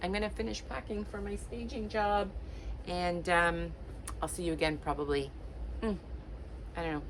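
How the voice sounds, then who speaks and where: Chatty woman in her late fifties, lowish voice. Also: fairly even intonation, normal speed, slight background noise, poor audio. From Portugal. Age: late 50s. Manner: chatty, warm-hearted encouragement with many fillers. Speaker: lifestyle vlogger. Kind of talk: casual chat to camera.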